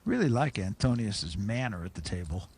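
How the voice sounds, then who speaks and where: A man hosting and calling the action in a televised poker broadcast, with a brisk, measured play-by-play delivery. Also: fairly low pitch, very animated, a little mellow, slight background noise. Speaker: play-by-play commentator.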